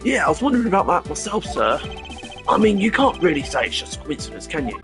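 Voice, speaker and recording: A man's voice: sits high, sing-song, fast, music in the background, voice pushed up. A man voicing an eager, bumbling police detective who is folksy, enthusiastic and deferential, in a video game. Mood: curious, eager. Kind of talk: video game character voice-over.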